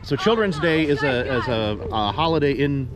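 A man's voice: mid-range voice, expressive, normal speed. A middle-aged man from Texas hosting a casual selfie vlog in a park, talking in a chatty, folksy way and often repeating words while he thinks. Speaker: vlogger host. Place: outdoors in a park. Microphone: camera held at arm's length.

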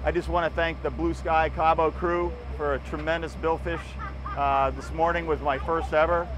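Male charter customer, fairly high voice, casual testimonial, clear boat noise. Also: flat delivery, normal speed. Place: open boat deck at sea. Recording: loud boat engine and outdoor noise.

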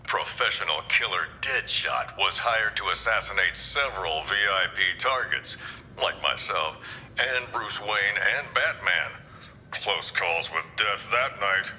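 Low, solemn tour narrator, reverberant. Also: male voice, lively intonation, a little slow, sharp tone, highs cut off, slight background noise, exaggerated voice. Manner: solemn, portentous. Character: grave male journalist narrating a museum tour. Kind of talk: dramatic scripted exhibit narration. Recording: reverberant exhibit speakers with music. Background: music.

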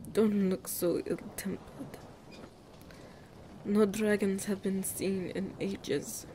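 Woman voicing a commanding monster empress, formal, measured, very slow, dark mellow tone, fantasy audio drama. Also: mid-range voice, slight background noise. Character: regal, commanding monster empress, old ally of dragons. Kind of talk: fantasy audio roleplay acting.

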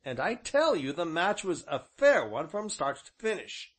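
A man playing a calm, stubborn, well-spoken young gentleman with a formal, firm manner. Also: on the high side, a little slow, breathy edge, pitched above the performer's own voice, full character voice. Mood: defiant.

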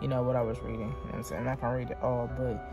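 A woman vlogging from inside her car, reading aloud haltingly and chatting straight to her viewers. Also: a bass voice, little pitch movement, loud music behind the voice. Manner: halting read-aloud, stumbles and restarts; chatty, talks directly to viewers. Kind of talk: casual vlog, reading aloud.

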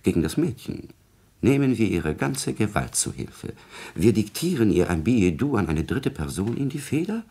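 A man acting a servile, calculating court secretary in a 1950s German radio drama, speaking in a deliberate, insinuating, deferential way with a husky voice. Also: lowish voice, very animated, dark tone, heavily acted. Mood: sly, coaxing.

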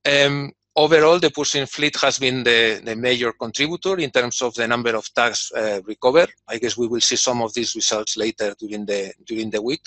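Bright-voiced man giving a halting keynote. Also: medium pitch, dry recording. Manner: hesitant, many fillers and repeated words. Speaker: industry representative giving a keynote. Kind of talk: prepared conference talk read from a script.

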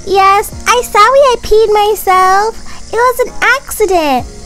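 A woman's voice: extremely high pitch, takes it slowly, bright, forceful tone, pitched above the performer's own voice, heavily acted. A woman voicing a sweet, whiny small child in a family game roleplay, babyish and pleading. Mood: sheepish. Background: background music.

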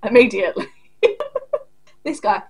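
A woman's voice: medium pitch, a little slow, darkish tone, husky. A woman in her thirties hosting a reaction video, talking bluntly and angrily with lots of swearing, recorded at a desk in a small home room. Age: 30s. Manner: angry, profane, blunt and sarcastic. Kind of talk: casual reaction commentary.

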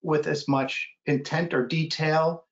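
A man's voice: on the high side, fairly even intonation, slow pace. A middle-aged American man chatting casually as a guest author, answering questions on a video call. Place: indoor room on a video call. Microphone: computer video call. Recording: dull, lo-fi video call audio.

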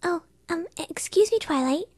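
A woman voicing a timid, gentle female cartoon pony who speaks shyly and hesitantly. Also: very high voice, animated, moderate pace, dry recording, studio quality. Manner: shy, hesitant, breathy and quiet. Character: timid gentle female pony, soft-spoken animal lover. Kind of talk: cartoon voice acting reel.

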